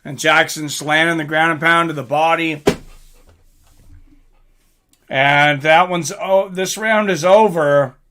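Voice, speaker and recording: A man's voice: a little high, fairly even intonation, slow, long pauses, a bit bright. A man giving casual live fight commentary in an informal, conversational way, like a livestream host.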